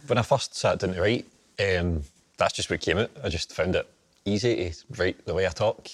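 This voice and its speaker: Deep-voiced Glaswegian man presenting. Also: moderate pace, breathy edge, dry recording. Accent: Glaswegian Scots. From Scotland. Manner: casual, plainspoken, often says aye. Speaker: documentary presenter. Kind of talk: documentary narration and interview.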